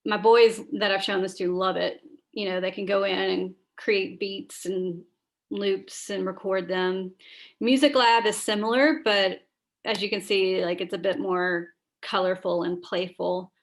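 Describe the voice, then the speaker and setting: A woman's voice: mid-range voice, fairly even intonation, a little slow. A woman with a tired, scratchy voice, chatting through a screen-share training on a video call, with many fillers and restarts. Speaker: school librarian leading teacher training.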